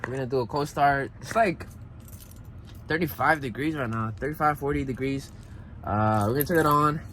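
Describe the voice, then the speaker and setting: A man's voice: medium pitch, normal speed. A young man in a casual trucker vlog, talking in a laid-back, slangy way as he shows his rig. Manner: laid-back, slangy, occasional Spanish asides. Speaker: truck driver vlogger showing his rig. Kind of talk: casual vlog chat while demonstrating. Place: outdoors by a semi truck and inside its cab. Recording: loud truck engine and outdoor noise. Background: loud vehicle and engine noise.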